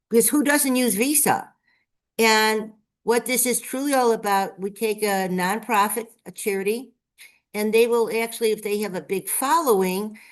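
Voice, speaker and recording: A woman chatting enthusiastically as a guest on a live-streamed show, sounding a bit congested. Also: medium pitch. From Las Vegas, Nevada. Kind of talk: casual live-stream chat.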